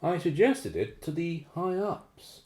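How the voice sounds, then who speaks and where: Male actor for secretive radio-play defector: courteous, hesitant, uneasy man with a soft-edged tone and swooping melody. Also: on the high side, very animated, takes it slowly. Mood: confessional, uneasy. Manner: hesitant, formal, well-spoken. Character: secretive male operative betraying his shadowy organization, uneasy. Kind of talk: radio drama acting.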